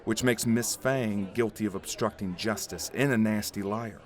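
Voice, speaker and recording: Man playing curt, low-voiced bullying cop. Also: moderate pace, natural voice. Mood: threatening. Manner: curt, threatening. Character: gruff, bullying male police officer, impatient. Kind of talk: noir audio drama acting.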